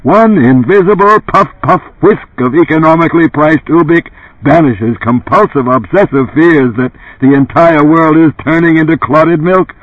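Man acting a booming, brash TV pitchman, animated. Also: no room sound, lo-fi sound. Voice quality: gravelly, dark, resonant. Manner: emphatic, salesmanlike, brash. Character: dead older tycoon as booming, blunt TV pitchman.

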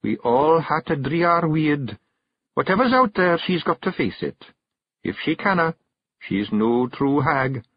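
A man's voice: on the high side, big pitch swings, breathy edge, no room sound, dull audio, pitched above the performer's own voice, full character voice. A man voicing a sweetly menacing Scottish fairy wife. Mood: sweetly stern. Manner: sweet, measured and quietly menacing. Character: shrewd young fairy wife and clan mother.

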